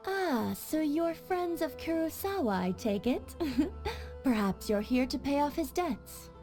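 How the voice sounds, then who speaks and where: Game shopkeeper: high, lilting, courteous and measured, with a coy mercenary streak. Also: female voice, very animated, over music, exaggerated voice. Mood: coy, welcoming. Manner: polite, measured, coyly businesslike. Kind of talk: video game voice acting.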